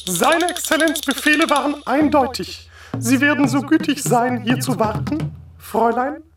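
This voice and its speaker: An actor playing an officious, imperious palace eunuch with a ceremonious, formal manner in a radio drama. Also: male voice, extremely high pitch, normal speed, noisy background. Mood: formal, commanding. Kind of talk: German radio drama acting. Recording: distant voice under loud music.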